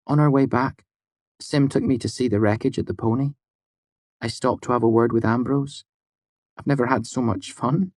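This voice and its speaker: A man's voice: medium pitch, normal speed, no room sound, studio quality, pitched above the performer's own voice, natural voice. A man voicing a bold, playful young woman who teases her friends. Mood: teasing. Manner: lively, teasing.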